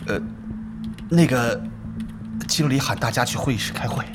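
A man's voice: medium pitch, moderate pace, lightly acted. Radio play team gofer, male actor: young man, nervous, gossipy mutterer, reverberant sound, heavy music underneath. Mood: nervous, deferential. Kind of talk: Chinese audio drama acting. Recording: reverberant, very loud background music.

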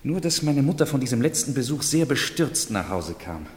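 A man plays a troubled young nobleman in a 1970s radio play. He speaks at a middle pitch with grave, pleading courtesy. The space around him is strongly reverberant. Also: sing-song, moderate pace, lightly acted. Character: earnest, troubled young Italian marquis.

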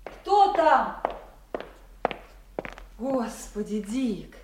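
An actress playing a hospitable, homely housewife in a radio play. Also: female voice, fairly high pitch, very animated, drawn-out words, exaggerated voice. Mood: welcoming. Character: hospitable housewife welcoming a family friend at home. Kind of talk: Russian radio play acting. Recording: radio play with music behind.

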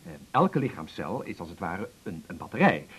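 A man's voice: deep voice, sing-song, moderate pace, a bit bright, a little hiss or hum. An actor playing a slick yet flustered door-to-door salesman in a radio play, with hesitant sales patter full of jargon. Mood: persuasive.

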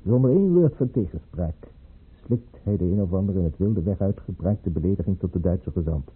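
A husky, sardonic scheming baron. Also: male voice, deep voice, lively intonation, fairly quick, narrow, tinny audio, full character voice. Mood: wry, conspiratorial. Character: sardonic aristocratic baron, conspiring Russian courtier. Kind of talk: Dutch radio play acting. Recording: band-limited old radio play recording.

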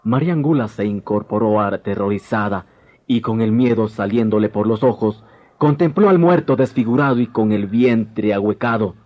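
A man's voice: fairly low pitch, moderate pace. A man narrating a scripted tale dramatically as a radio drama storyteller. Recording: dull lo-fi 1990s radio recording, music behind.

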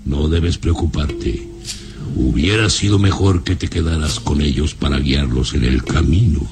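An actor playing a sinister, imperious baron in an old-time radio drama, brooding and contemptuous. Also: male voice, rumbling low, a little mellow. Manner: imperious, brooding, contemptuous, drawn-out deliberate phrases. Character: sinister, scornful baron, a commanding father. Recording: music under the voice. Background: dramatic music.

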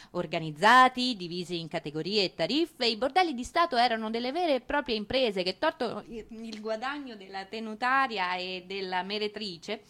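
Female theatre narrator: lively swings in pitch, ironic tone, expressive monologue with dramatic pauses. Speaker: stage narrator and performer.

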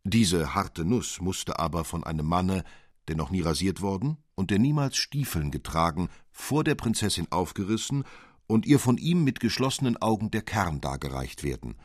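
A man with a deep voice narrates a literary fairy tale. His delivery is scripted and expressive, with a theatrical storyteller's touch. The sound is close and dry, free of room echo. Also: moderate pace. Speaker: audiobook narrator.

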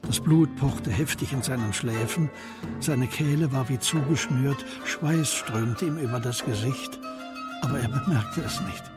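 A male narrator with a medium-pitched voice tells a story for a radio play. His delivery is measured and literary, with music clearly playing behind him. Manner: measured, descriptive. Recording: studio narration over music.

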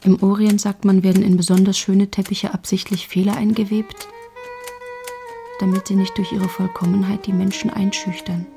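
Woman narrator reading literary prose, mid pitch, clear guitar music behind her. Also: little pitch movement, moderate pace, warm tone. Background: plucked guitar music.

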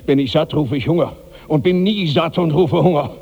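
Radio play, male aging monstrous glutton; self-pitying and theatrical, gravelly, long reverb. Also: on the high side, normal speed, highs cut off. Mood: resigned, hungry. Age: older. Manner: theatrical, grandiose, swinging from brooding to giddy. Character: gigantic, self-pitying rich glutton, insatiably hungry, 65. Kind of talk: 1960s German radio drama acting. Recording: reverberant 1960s radio studio recording.

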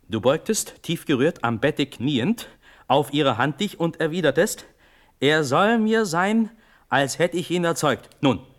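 Slow, desperate young prince played by a man. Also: mid-range voice, lightly acted. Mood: urgent pleading. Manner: fervent, declamatory, pleading. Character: desperate young prince begging for his life. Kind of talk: classical German stage verse, radio drama.

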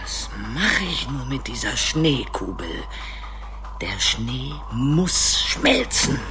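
Cross villain hunter, radio drama, slow, swinging pitch, commanding. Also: male voice, very animated, noisy background. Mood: muttering, frustrated. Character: bad-tempered villain, grumbling and commanding hunter. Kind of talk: German radio play acting.

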